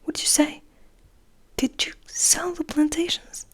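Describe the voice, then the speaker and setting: A woman's voice: high-pitched, flat delivery, measured pace, pitched above the performer's own voice. A woman whispering as a curious, eager boy interviewer. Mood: astonished, curious. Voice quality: breathy whisper. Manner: curious, questioning. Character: astonished, eager young male interviewer. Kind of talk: whispered ASMR storybook reading.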